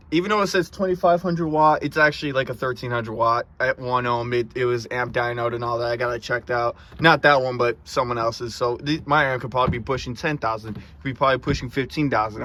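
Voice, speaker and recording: A man's voice: mid-range voice, bright, forceful tone. A man chatting casually to camera as a car audio vlogger, in a rambling, informal way with some stuttering and repeated words, recorded inside a car. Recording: noisy vehicle interior.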